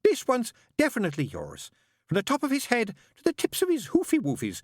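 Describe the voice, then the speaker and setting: A nervous, chattering nun nurse who gushes and rushes every line, voiced by a man. Her pitch sits very high and leaps about wildly. The sound is close and dry. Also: huge pitch swings, no room sound, full character voice. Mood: flustered reassurance. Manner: gushing, hurried, fluttery. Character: flustered chatty middle-aged nun nurse, woman.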